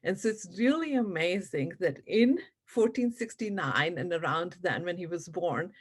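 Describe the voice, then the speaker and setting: A woman's voice: fairly low pitch, lively intonation, normal speed, breathy edge. A woman from India, a native Punjabi speaker, giving an online presentation to teachers in an earnest, explanatory way, with many fillers and "right?" checks. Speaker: educator presenting on Sikhism. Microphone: video call.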